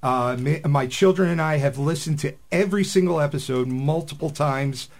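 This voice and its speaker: Creaky-voiced man co-hosting radio. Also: a little high, normal speed. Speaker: podcast co-host. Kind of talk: casual panel chat on live radio. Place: radio studio.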